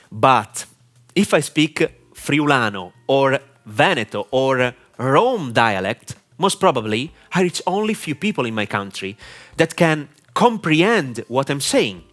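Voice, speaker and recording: Lively Italian-accented man teaching. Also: medium pitch. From Italy. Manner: deliberate, clear teaching delivery with frequent "uh" fillers. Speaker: online marketing mentor. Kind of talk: instructional talk to camera.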